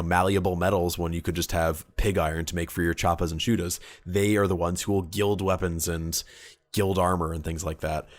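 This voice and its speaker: Low voiced guy geeking out on a hobby podcast, casual and into it. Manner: enthusiastic, geeky, conversational. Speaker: podcast co-host.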